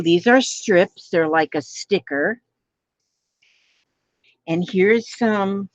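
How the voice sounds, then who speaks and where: A woman hosting a live crafting stream, talking softly with her viewers and gasping over pretty papers. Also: on the low side, dry recording, muffled recording. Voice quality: gentle voice. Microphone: phone.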